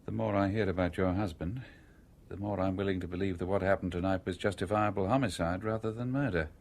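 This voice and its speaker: A man in a radio drama playing a cool-headed, commanding British gentleman schemer, brisk, wry and bossy. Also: sits low, fairly even intonation. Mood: probing.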